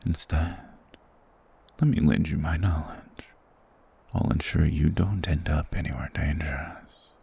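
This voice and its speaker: A man performs a mysterious monster who lives secluded in the woods, for an ASMR fantasy roleplay. The voice is extremely deep and heavily creaky, wrapped in a very husky, breathy whisper. The creature speaks in measured, old-fashioned courtesy, softly persuasive and quietly eerie. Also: steady tone, heavily acted. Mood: reassuring, coaxing.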